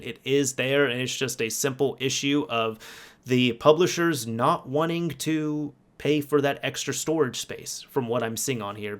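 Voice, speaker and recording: A man hosts a casual gaming video in a conversational, emphatic way. His voice sits at an average pitch, and he sounds like an exasperated player explaining his complaints. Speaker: YouTube game commentator. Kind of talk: casual video commentary on games.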